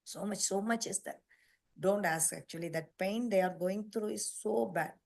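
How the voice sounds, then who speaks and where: A woman hosting a nutrition program on a video call, talking in a halting, repetitive way with emphatic lists. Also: mid-range voice, gravelly, darkish tone. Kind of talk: casual online meeting talk.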